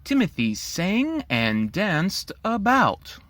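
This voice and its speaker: Animated, bright-voiced male storyteller. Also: high-pitched, slow, no echo. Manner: theatrical, playful. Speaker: children's author and storyteller. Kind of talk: dramatic rhyming storybook narration.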